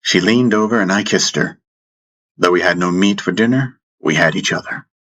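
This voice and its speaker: A man narrating a first-person fantasy audiobook in a measured way, with dramatic pauses. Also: deep voice, a bit bright. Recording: music bed under the voice. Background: background music.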